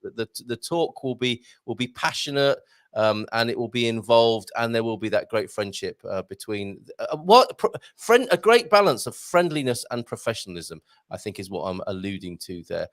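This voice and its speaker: A man hosts a live question show with real enthusiasm. His pitch swings widely, and he stumbles over repeated words as he reads out viewer questions. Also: expressive, normal speed, fairly bright tone. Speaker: show host.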